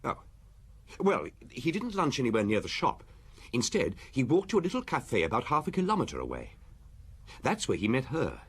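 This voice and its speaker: A bashful, romantic young junior detective in a radio drama. His husky voice leaps around in pitch, eager and earnest yet hesitant. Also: huge pitch swings, moderate pace, exaggerated voice. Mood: eager report. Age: young adult.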